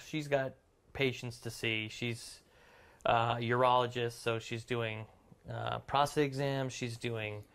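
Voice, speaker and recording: رجل ذو صوت خشن متحشرج. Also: male voice, medium pitch, clear, resonant tone. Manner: deadpan, dry. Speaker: ad copywriter. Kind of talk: comedic scripted banter. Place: living room, indoors.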